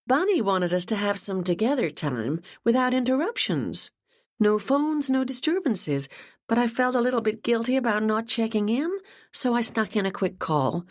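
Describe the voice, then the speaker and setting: A bubbly young woman, voiced by a woman reading a storybook, gushing in bright, high bursts that leap up and down. She sounds excited and a little scattered, pitched well above the reader's own low voice. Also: moderate pace.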